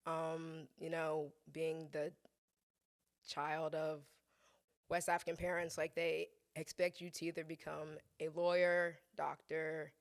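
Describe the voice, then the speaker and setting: Gravelly-voiced woman chatting easily. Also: low voice, level tone, slow pace. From born in Ghana, raised in the US South. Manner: chatty, laughs easily, playful. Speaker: chef, podcast guest. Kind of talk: casual conversation.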